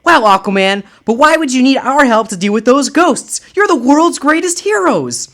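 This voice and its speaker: A man's voice: very high voice, expressive, normal speed, a bit bright, pitched above the performer's own voice, heavily acted. A man voicing an enthusiastic young male team leader who is a big fan. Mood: excited.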